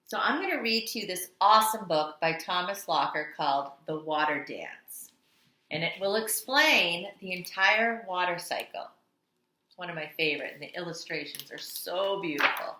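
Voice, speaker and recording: A woman kindergarten teacher reading aloud to young children with a dramatic, deliberate, expressive delivery. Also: on the low side. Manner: dramatic, deliberate delivery with strong swells and hushed endings. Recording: echoey, roomy sound.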